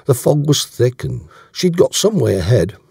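A man's voice: medium pitch, very animated, moderate pace, vocal fry, a little mellow, breathy edge, dry recording, studio quality, pitched above the performer's own voice, exaggerated voice. A man voicing an earnest, awkward English yachtsman in an audiobook, answering in a short, dogged, defensive way. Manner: short, defensive, offhand replies.

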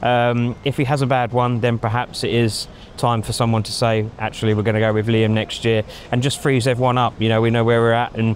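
A middle-aged man giving measured opinions in a casual outdoor chat, thinking aloud with frequent ums, on a lapel mic. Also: medium pitch, level tone, a little hiss or hum. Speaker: pundit. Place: outdoors in a racing paddock at night. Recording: faint outdoor street ambience.

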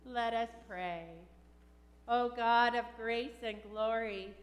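Slow-speaking woman pastor in an echoing church. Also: a little high. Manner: measured, deliberate phrasing. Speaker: pastor leading a funeral service. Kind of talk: solemn liturgy and scripture reading. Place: large church sanctuary, indoors. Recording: echoey church with long reverb.

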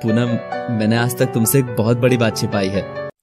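A man voicing an earnest, hesitant young male college student with an Indian accent in an animated story. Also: mid-range voice, normal speed, music in the background, close to an everyday voice. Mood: hesitant, confessing.

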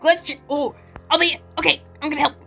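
Playful female gamer, high bright voice, dramatic improvised roleplay over faint music. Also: fairly even intonation, a little fast, gravelly. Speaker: player in a video game roleplay. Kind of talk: improvised roleplay with friends while gaming.

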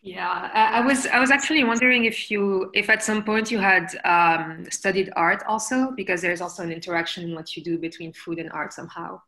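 A woman's voice: on the low side, fairly even intonation, brisk, hardly any pauses, pushed and bright. A woman in her thirties moderating a casual art conversation over a video call from indoors. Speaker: interviewer, moderator. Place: indoors, room with archway. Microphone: computer mic on a video call. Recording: roomy, echoing video call.

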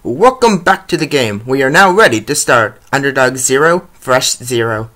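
A man giving scripted play-by-play narration as the announcer of an animated hockey series, reading out scores. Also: mid-range voice, moderate pace.

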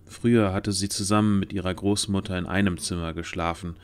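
A man's voice: deep voice, steady tone, brisk. A man reading scripted narration for a fantasy audio drama. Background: faint music.

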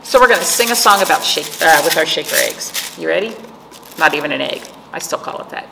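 A woman's voice: sits low, lively intonation, a little fast. A middle-aged woman hosting storytime as a children's librarian, reading aloud and chatting to young listeners in simple phrases with questions. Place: indoor library room, seated before patterned wall. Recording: echoey room with clear background noise.

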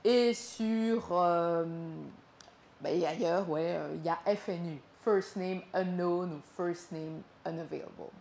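Halting young woman in an echoey room. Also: fairly low pitch, darkish tone, a little hiss or hum. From Congo, raised in Belgium. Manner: halting, with many repeated words and fillers. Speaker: performer telling her own story. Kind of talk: live spoken performance. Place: small gallery room with an audience.

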